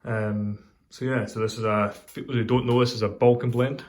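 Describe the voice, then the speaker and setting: A Scottish man chatting casually in a video review, rambling and hesitant with many pauses and ums. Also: medium pitch, little pitch movement. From Scotland. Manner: pauses while lighting a pipe. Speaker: pipe tobacco reviewer.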